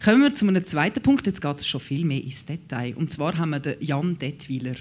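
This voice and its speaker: A woman's voice: deep voice, expressive, a little fast, darkish tone. Woman host, Swiss German accent, playful, jokey. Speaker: radio host. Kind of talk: chatty interview hosting.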